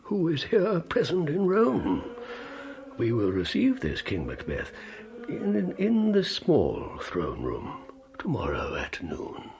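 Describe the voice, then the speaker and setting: An actor playing an ailing, imperious and dry-witted old medieval pope in a radio drama, halting and stately. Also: male voice, a little high, huge pitch swings, creaky, darkish tone, exaggerated voice. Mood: curious, musing. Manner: halting, stately, wryly fastidious. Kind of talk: radio drama acting and first-person narration.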